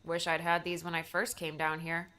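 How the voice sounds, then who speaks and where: A man voicing a nervous young woman who speaks softly and hesitantly. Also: fairly high pitch, monotone, moderate pace, clean tone, pitched above the performer's own voice. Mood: wry.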